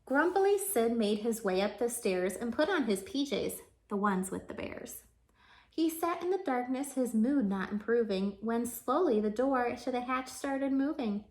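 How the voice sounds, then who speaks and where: A woman with a high voice narrates a children's story in rhyme. She reads expressively, like a teacher addressing young listeners. The recording has a clear room echo. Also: expressive, measured pace, a little mellow. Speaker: teacher reading aloud to children. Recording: echoey, roomy sound.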